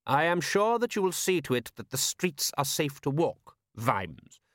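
A man's voice: mid-range voice, animated, normal speed, no room sound, studio quality, pitched above the performer's own voice, lightly acted. A man voicing a shrewd, coolly commanding city ruler in an audiobook, precise, formal and sardonic. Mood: dryly sardonic. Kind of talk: audiobook character voice.

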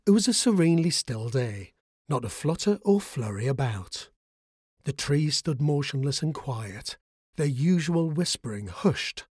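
A man reading a bedtime story aloud, soothing and expressive. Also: medium pitch, breathy edge, dry recording, studio quality.

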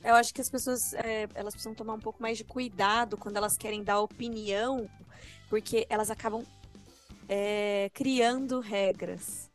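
A woman's voice: mid-range voice, fairly even intonation, moderate pace, faint music behind. A Brazilian woman giving opinions in casual podcast conversation. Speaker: podcast co-host.

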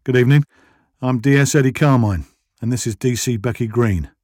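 A man's voice: medium pitch, a little fast, a little mellow, dry recording, studio quality, lightly acted. A man voicing an earnest, polite and wry male police detective in an audio story. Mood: polite, businesslike.

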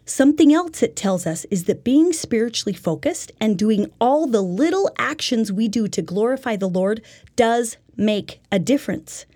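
Woman, lesson presenter, mellow tone, a little husky, earnest, instructive, spiritual teaching. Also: a little high, animated, normal speed. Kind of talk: religious self-help lesson.

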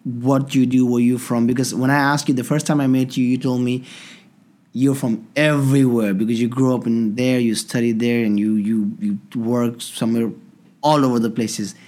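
Relaxed male host, meandering questions padded with fillers. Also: mid-range voice, normal speed. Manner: loose, rambling questions with many fillers. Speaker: podcast host. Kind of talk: casual podcast interview.

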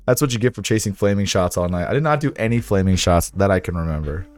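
Hoarse raspy guy on a livestream, lowish voice, rambly and sarcastic, faint music under him. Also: animated, quick pace. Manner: rambling, sarcastic, swears, reads aloud to chat. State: hoarse, sore voice. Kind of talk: casual livestream chat with viewers.

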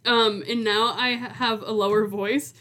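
A woman's voice: a little high, steady tone, moderate pace, pushed and bright, slight background noise. A woman in her twenties co-hosting a podcast in a casual chat, talking informally with lots of "like". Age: 20s. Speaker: podcast co-host.

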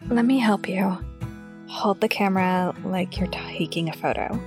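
A woman's voice: mid-range voice, moderate pace, over music, close to an everyday voice. A woman playing a confident, bossy, artistic teenage girl who teases and gives directions in an audio drama. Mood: instructive. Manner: teasing, directive.

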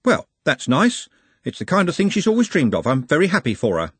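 A man's voice: on the high side, wildly swooping pitch, a little fast, husky, dry recording, pitched above the performer's own voice, heavily acted. A man voicing a cheeky, plain-spoken young working-class man in a storybook, casual and earnest.

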